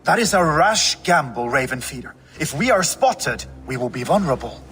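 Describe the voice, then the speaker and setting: An actor playing a seasoned, middle-aged Viking warlord in a video game, wry and commanding. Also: male voice, on the high side, very animated, moderate pace, a bit bright, in a large hall, faint music behind. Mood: skeptical. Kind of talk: dramatic video game acting.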